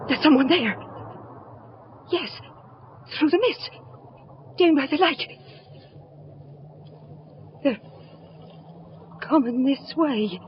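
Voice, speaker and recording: A very high, swooping voice on a muffled, hissy old radio recording plays a frightened village wife. The delivery is breathless, faltering and melodramatic, full of dread. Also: female voice, animated, very slow, pauses often, heavily acted. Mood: hushed dread. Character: frightened devoted village wife, fearful of a wolf creature. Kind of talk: 1970s radio horror drama acting.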